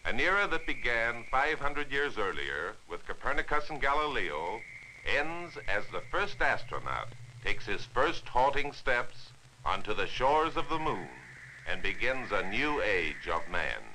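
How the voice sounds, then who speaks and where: A man's voice: medium pitch, big pitch swings, measured pace, pushed and bright, heavily acted. A man delivering solemn, formal 1960s documentary narration with a grand manner. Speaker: narrator of a lesson film. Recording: noisy 1960s film playback.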